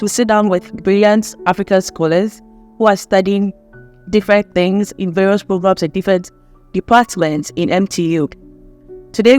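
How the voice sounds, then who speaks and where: A woman hosting a casual interview chat. She talks in a lively way, laughs often and asks long, rambling questions. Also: mid-range voice. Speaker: host.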